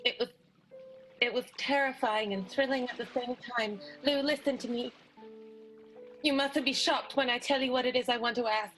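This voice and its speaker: Woman playing high-voiced, timid adoring wife. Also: steady tone, normal speed, a bit bright, soft background music, natural voice, low-quality recording. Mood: pleading. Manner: hesitant, melodramatic. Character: breathlessly adoring, timid, dramatic wife of film star. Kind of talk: improvised radio soap opera acting.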